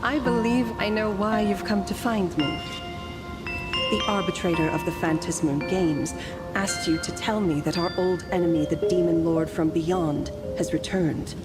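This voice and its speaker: A woman voicing an ancient, composed guardian spirit in an anime game, formal, dignified and gently teasing. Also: lowish voice, fairly even intonation, moderate pace, over loud music, natural voice. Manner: formal, poised, archaic phrasing.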